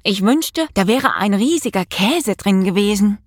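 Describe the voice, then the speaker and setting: A woman's voice: mid-range voice, lively intonation, measured pace, no room sound, studio quality. A woman voicing an exuberant, gleeful young male magic mouse in a children's storybook, chanting with relish. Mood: delighted. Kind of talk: children's storybook character reading.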